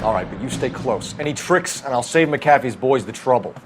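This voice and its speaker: An actor playing a tough, commanding police detective who issues threats in a video game, with music behind him. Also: male voice, medium pitch, animated, brisk, close to an everyday voice. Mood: stern, threatening. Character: tough, hard-nosed male police detective.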